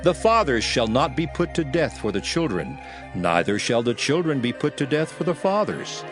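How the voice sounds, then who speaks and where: A man's voice: medium pitch, sing-song, moderate pace, lightly acted. A man playing a solemn prophet and lawgiver, declaiming commandments in archaic phrasing for a dramatized audio Bible. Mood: solemn, commanding. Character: Moses, solemn male lawgiver addressing his people. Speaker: scripture reader in an audio Bible. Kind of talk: dramatized scripture reading. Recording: music under the voice. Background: music.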